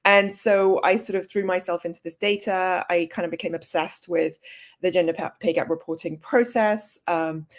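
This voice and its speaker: A woman's voice: on the low side, steady tone, normal speed. A woman in her thirties from Switzerland, a native German speaker with a British accent, telling her story conversationally with wry self-deprecation. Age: 30s. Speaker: journalist and author, interview guest.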